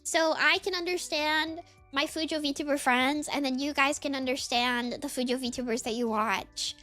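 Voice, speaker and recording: A woman's voice: sits high, fairly even intonation, moderate pace, bright, forceful tone, soft background music. A woman streamer chatting casually in a rambling, giggly way, full of fillers. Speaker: VTuber streamer.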